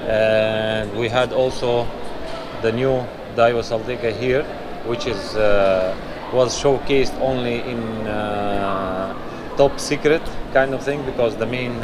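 A man's voice: mid-range voice, steady tone, unhurried. Man touring a noisy expo hall. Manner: filler-heavy, frequent "uh" and restarts. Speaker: fishing channel host. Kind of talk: walkaround expo review. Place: large indoor exhibition hall. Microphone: chest-mounted camera. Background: hall ambience and air conditioning hum.

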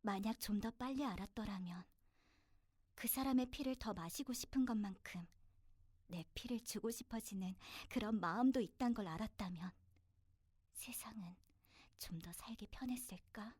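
A woman voicing a wounded teenage villain girl in an anime, bittersweet and sincere, her halting, emotional delivery often breathy and dropping toward a whisper. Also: mid-range voice, darkish tone, dry recording, clean studio sound, lightly acted. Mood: wistful, fading. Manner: halting, emotional, swinging from intense to tender. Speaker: voice actor recording a sample. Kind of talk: emotional anime voice acting with inner narration.

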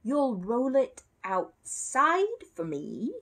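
A woman's voice: on the high side, very animated, extremely slow, fairly bright tone, voice pushed up, heavily acted. A woman voicing a polite, earnest young male bear who is easily indignant, in a storybook reading. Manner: polite, earnest, hard-bargaining.

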